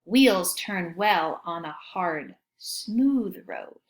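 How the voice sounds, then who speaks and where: Slow, very lively woman reading to children. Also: lowish voice. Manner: expressive, child-directed, often asking questions. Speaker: teacher reading aloud. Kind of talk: children's picture-book read-aloud with questions.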